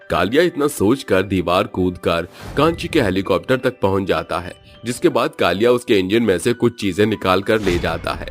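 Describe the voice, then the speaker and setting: A man narrating a cartoon horror story in a steady, dramatic way. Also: low-pitched.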